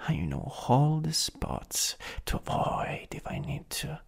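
Casting: male actor as possessive, teasing supervillain for ASMR roleplay; Italian accent, breathy, husky, hugely varied intonation. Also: on the high side, very animated, exaggerated voice. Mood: teasing, intimate. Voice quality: breathy, often whispering. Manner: slow, teasing, lingering delivery.

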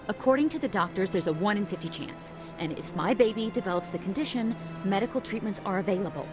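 A woman's voice: mid-range voice, animated, quick pace, close to an everyday voice. An actress playing a grieving, defiant young widowed mother-to-be in a video game drama, wounded but firm. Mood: guarded, firm. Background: music.

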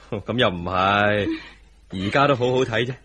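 An actor playing a cheeky, coaxing young son and protective husband in a radio drama, with a slightly husky voice. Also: male voice, lowish voice, a little slow, pushed and bright, exaggerated voice. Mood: affectionate. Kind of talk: Cantonese radio drama acting.

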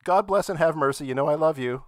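A man's voice: a little high, fast talker, very noisy. A man on a podcast panel, chatting casually and reading aloud for comedy.

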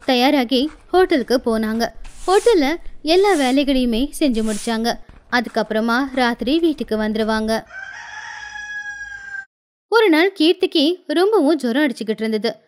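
A woman with a high voice tells a scripted moral tale as its narrator. She delivers it as storytelling for an animated story. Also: normal speed, long pauses.

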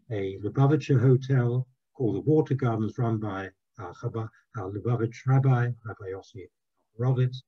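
A man's voice: medium pitch, dark tone, breathy edge, dull audio. An older man, the guest speaker, giving a talk and taking questions on a video call in a room at home, in a friendly, chatty way. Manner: friendly, chatty, quick to agree.

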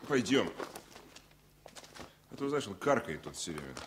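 Low-voiced, irritated passenger. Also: male voice, big pitch swings, unhurried. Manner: brusque, complaining. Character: irritated, dismissive male airline passenger. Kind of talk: 1970s Soviet radio play acting. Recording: noisy vintage radio-play recording.

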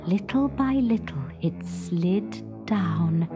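A woman narrating a children's story in a dramatic, expressive, suspenseful storybook style. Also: medium pitch, takes it slowly, over music.

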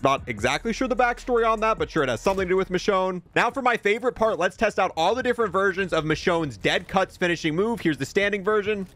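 Man, somewhat high voice, casual gaming host, conversational, thinking aloud, light background music. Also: lively intonation, fast talker, few pauses, a bit bright. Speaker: gaming video host reviewing a cosmetic bundle. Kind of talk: casual video game commentary.

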